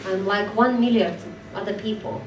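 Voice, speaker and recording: A woman's voice: mid-range voice, steady tone. Belarusian woman, candid and self-mocking. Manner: candid, self-mocking, talks in quick bursts. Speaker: podcast host. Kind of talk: casual conversation. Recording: roomy, distant mic, faint background noise.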